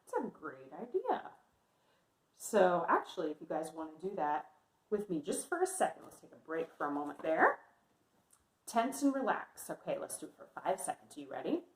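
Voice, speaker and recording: A woman's voice: lowish voice, very animated, moderate pace, a little hiss or hum. A woman teacher giving a read-aloud lesson to young pupils, speaking simply and encouragingly with frequent questions. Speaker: teacher reading aloud to children. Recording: roomy, echoey indoor sound.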